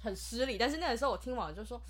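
A young woman from Taiwan hosting a casual podcast chat, animated and laughing often, tripping over her words. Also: on the high side, racing. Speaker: podcast host.

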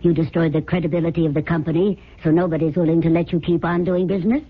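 Husky scheming dame in an old radio play, cold n pushy, tinny lo-fi sound. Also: female voice, fairly low pitch, steady tone, quick pace. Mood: coolly persuasive. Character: cold, persuasive scheming girlfriend urging a crime. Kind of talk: 1970s radio drama acting. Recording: lo-fi phone-like old radio recording.

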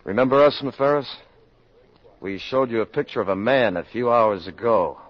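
An actor playing a serious, decisive doctor in a 1950s radio drama, measured and matter-of-fact. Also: male voice, medium pitch, lightly acted. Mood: grave. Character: steady, serious male public health doctor, decisive. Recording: dull old radio broadcast, background hubbub.

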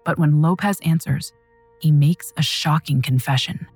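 A woman narrating a true crime story in a measured, dramatic scripted style. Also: low voice, darkish tone, husky, faint music behind.

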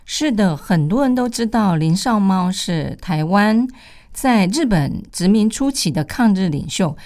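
An older woman hosts a radio program in a Taiwanese Mandarin accent. Her mid-pitched delivery is conversational but prepared. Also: lively intonation, a little slow, a little mellow.

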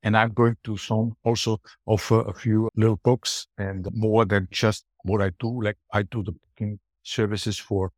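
Man, Austrian accent, native German speaker, low pitch, emphatic, conversational podcast guest, dry recording. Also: little pitch movement, normal speed, few pauses, no room sound. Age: middle-aged or older. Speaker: podcast guest, booking strategist. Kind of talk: conversational interview answers.

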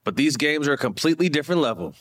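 Male announcer, dramatic emphatic sports promo read, slightly high pitch. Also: big pitch swings, very fast, a bit bright.

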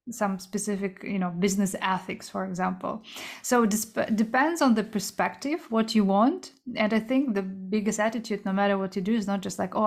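A woman from Kazakhstan speaks as an interview guest, with an ordinary middle pitch. She talks in a halting way, often stopping to search for words, and laughs lightly between thoughts. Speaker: guest, entrepreneur. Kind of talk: casual interview answers.

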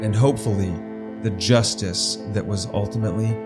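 A man co-hosting a podcast, moving from a measured scripted intro to easygoing banter. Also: lowish voice, steady tone, over loud music. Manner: dramatic, measured delivery in the intro. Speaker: podcast co-host. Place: restaurant dinner party.

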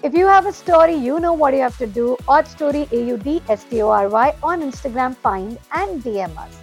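High-voiced Indian woman hosting over music. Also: lively intonation, brisk. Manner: chatty, informal, mixes Hindi words into English. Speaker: podcast host. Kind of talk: casual scripted podcast hosting.

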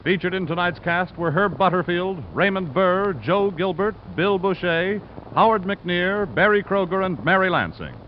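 A high-pitched American male announcer in classic old-time radio style. His scripted delivery is polished, declamatory and very animated. It sounds like a muffled old broadcast with music underneath. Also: moderate pace, slight background noise. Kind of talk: 1940s-50s radio announcing, scripted credits and promotion.

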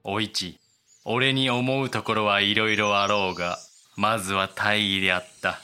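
An actor playing an imperious, cold feudal warlord in a period voice drama, with commanding, formal samurai speech and faint night ambience. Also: male voice, fairly low pitch, fairly even intonation, normal speed, pushed and bright, soft background music.

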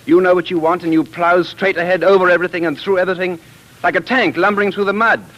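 An actor playing an anguished, passionate time traveler in love, in theatrical 1940s radio drama style. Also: male voice, high-pitched, steady tone, fast talker, a bit bright, highs cut off. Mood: angry, scornful. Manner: impassioned, theatrical.

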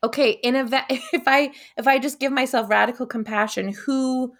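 Slightly high-voiced woman, reflective and casual. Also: fairly even intonation, normal speed. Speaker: podcast participant. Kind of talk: casual, reflective personal sharing.

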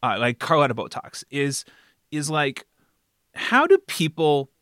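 A man hosting a conversational podcast interview in an animated way, often checking in with the listener as he talks. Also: fairly high pitch, unhurried, dry recording, clean studio sound. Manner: animated, frequently checking in with "right?".

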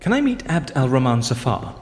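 A man's voice: mid-range voice, big pitch swings, brisk, darkish tone, long reverb tail, a little hiss or hum. A man acting in an audio drama as a polite, nosy prisoner who stammers and gets flustered as he talks his way around. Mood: curious, scheming. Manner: polite, stammering under pressure. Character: flustered, nosy imprisoned male interviewer, talking his way around.

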